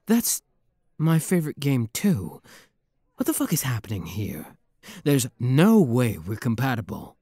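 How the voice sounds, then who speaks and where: Guy doing a flirty demon prince for asmr roleplay, slow talker but really expressive, playful teasing, dry sound. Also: mid-range voice, no room sound, full character voice. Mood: amused, disbelieving. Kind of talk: intimate ASMR roleplay audio.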